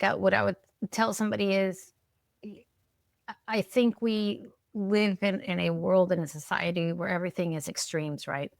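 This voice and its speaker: A middle-aged woman talks in a casual, reflective interview style. She repeats words and restarts her phrases, often adding small check-in tags. Her voice sits at a medium pitch and is recorded close and dry. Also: no room sound. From Peru, moved there at ten. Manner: halting, repeats words, often checks in with "right?" and "you know". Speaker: podcast guest, alcohol-free coach.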